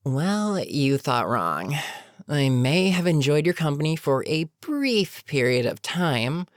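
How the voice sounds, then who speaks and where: A woman playing an older, weary supervillain in an audio roleplay, menacing yet protectively concerned, with a measured, sardonic, sighing delivery. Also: sits low, sing-song, dry recording, studio quality, full character voice. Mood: smug, menacing. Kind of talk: dramatic audio roleplay monologue.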